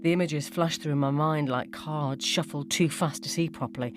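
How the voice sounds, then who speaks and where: Female narrator, low register, measured suspenseful storytelling, faint music underneath. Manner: suspenseful, measured, acts out dialogue. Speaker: narrator reading a short story. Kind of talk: scripted dramatic first-person ghost story narration.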